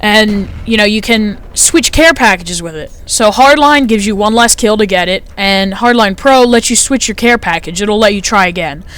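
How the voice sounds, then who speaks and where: A man's voice: normal speed, hardly any pauses, a bit bright, smooth, rough recording. Light-voiced teenage boy narrating gameplay. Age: teens. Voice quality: light, high-sounding voice. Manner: chatty, rambling, frequent fillers. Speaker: gameplay commentator. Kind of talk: casual gameplay commentary.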